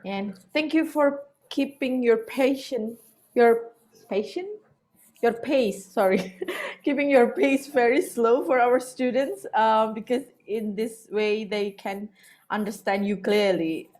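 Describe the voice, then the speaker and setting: A woman's voice: a little high, a little mellow. A woman whose first language is Indonesian, moderating a lecture's question session politely, with some hesitation. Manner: polite, halting, with fillers. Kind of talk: hosting a Q and A.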